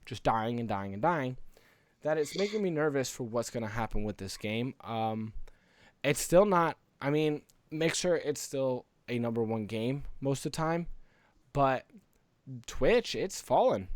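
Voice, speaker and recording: Male podcast co-host, mid-pitched, earnest and rambling, casual gaming chat, dry close-mic sound. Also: expressive, measured pace, no room sound.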